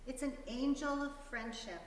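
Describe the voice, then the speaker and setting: Gentle storybook mother in echoey hall read by a woman. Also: sits high, moderate pace, a little hiss or hum, close to an everyday voice. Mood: gentle, reassuring. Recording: very echoey hall, distant mic.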